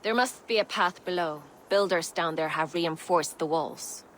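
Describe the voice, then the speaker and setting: A woman's voice: fairly low pitch, moderate pace, fairly bright tone, a little hiss or hum, close to an everyday voice. An actress playing a wry scout in a game cutscene, dry and knowing in her advice. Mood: amused. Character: wry woman scout near a castle. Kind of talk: video game cutscene acting.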